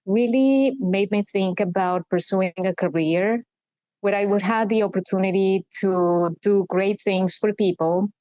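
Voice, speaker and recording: A woman's voice: mid-range voice, a little mellow, dry recording, muffled recording. Earnest woman from Colombia, dry close sound. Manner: earnest, measured, explanatory. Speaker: guest, corporate sustainability executive. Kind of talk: podcast interview.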